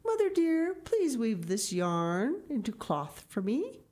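A woman voicing a polite little farm boy, raised pitch. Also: wildly swooping pitch, slow pace, a little mellow, exaggerated voice. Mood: pleading.

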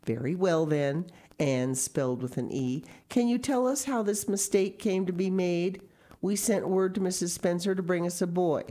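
A woman voicing a stern, practical middle-aged spinster who speaks briskly and dryly. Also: fairly low pitch, dark tone, voice pushed up, close to an everyday voice. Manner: brisk, no-nonsense, dry.

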